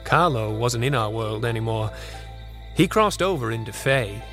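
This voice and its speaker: Folksy storyteller, haunted, reverent, suspenseful, audio drama, music behind. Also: male voice, medium pitch, animated, fairly bright tone. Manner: suspenseful, deliberate storyteller with folksy turns of phrase. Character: folksy old storytelling grandfather, haunted and reverent about fairies. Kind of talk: dramatic first-person narration on a recorded tape.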